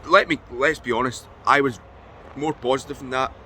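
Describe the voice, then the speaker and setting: Scottish man, croaky voice, bright forceful tone, casual, faint street noise. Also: medium pitch, fairly even intonation, moderate pace. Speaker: podcast panelist.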